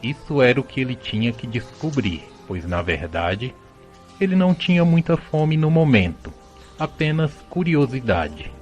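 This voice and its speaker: A man with a Brazilian accent narrating a scripted audio drama with dramatic, deliberate phrasing. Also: mid-range voice, very animated, muffled recording. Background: music bed.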